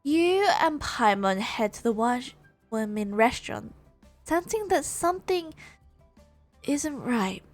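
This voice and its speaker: A woman streamer reading video game dialogue and narration aloud in a measured storytelling manner. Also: on the high side, animated, no room sound, soft background music.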